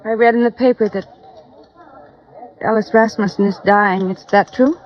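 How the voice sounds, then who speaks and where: A woman's voice: mid-range voice, fairly even intonation, normal speed, natural voice. An actress playing a guarded, world-weary widow, down on her luck and defiant, in a 1950s radio noir drama. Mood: hesitant, earnest. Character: bitter, tough, down-and-out young widow. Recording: dull, dry vintage radio recording.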